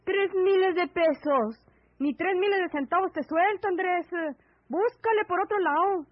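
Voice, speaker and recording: An actress playing a stubborn, distrustful country wife with a rural northern Mexican accent and firm, scornful retorts, in an old radio serial. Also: female voice, very high voice, unhurried, no echo. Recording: dull vintage AM radio drama recording.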